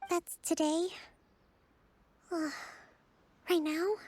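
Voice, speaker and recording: A woman voicing a gentle, gracious young island leader in a video game, speaking with polite composure. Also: very high voice, level tone, drawn-out words, heavily acted. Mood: reluctant, wistful. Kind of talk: video game character dialogue.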